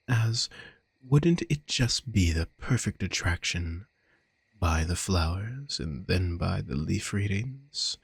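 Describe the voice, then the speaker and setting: A man playing an obsessive, lovestruck vampire in an intimate audio roleplay, courtly and menacing, with florid old-fashioned phrasing and a husky, gravelly voice. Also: deep voice, no echo, full character voice. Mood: yearning, intense. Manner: slow, florid, archaic courtly speech. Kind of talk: scripted romantic audio roleplay, intimate monologue.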